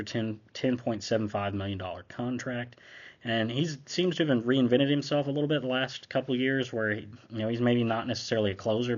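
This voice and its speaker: A man's voice: medium pitch, muffled recording. A young man with a slight cold hosting his own channel, giving an offhand, conversational sports recap as he reads down a list. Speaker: host. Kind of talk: casual sports recap commentary.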